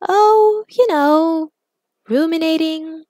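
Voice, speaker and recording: A woman performs a young superheroine in an audio story. She speaks high and expressively, confiding with playful warmth and earnest worry. The sound is dry. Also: takes it slowly, no echo. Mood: playful, teasing.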